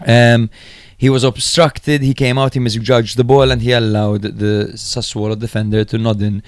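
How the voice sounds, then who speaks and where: A man's voice: medium pitch, little pitch movement, normal speed, smooth, dry recording. A man co-hosting a podcast, recapping and analysing football matches in an informal, chatty way. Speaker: podcast host.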